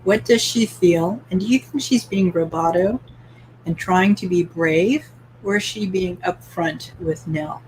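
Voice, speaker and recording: A woman's voice: on the low side, unhurried, darkish tone, a little hiss or hum, rough recording. A woman from Canada hosting a live-streamed book club, asking open questions and reading viewer comments aloud with light laughter.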